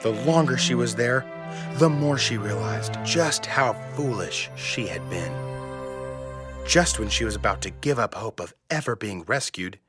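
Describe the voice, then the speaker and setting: A man narrating a children's story in a measured, expressive storybook style. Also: mid-range voice, music in the background, lightly acted.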